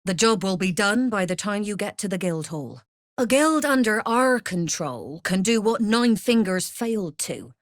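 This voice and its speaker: A woman's voice: mid-range voice, big pitch swings, normal speed, no echo, studio quality, natural voice. A woman playing a shrewd, worldly smuggler and trader in a video game, speaking in a sly, wry, businesslike manner. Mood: cool, calculating. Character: shrewd, worldly female smuggler-merchant of a criminal trade network. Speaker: trader and guild agent. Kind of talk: video game character dialogue.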